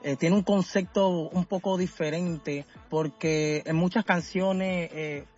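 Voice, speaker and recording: A man from Venezuela talking casually as a guest in a radio interview, halting and often searching for his words. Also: high-pitched, steady tone, a little mellow, lo-fi sound, soft background music. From Caracas, Venezuela. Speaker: musician being interviewed.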